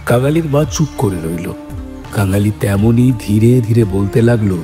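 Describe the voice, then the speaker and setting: A man reading scripted literary narration as a storyteller, in a measured manner. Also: on the low side, dark tone. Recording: music bed behind the voice.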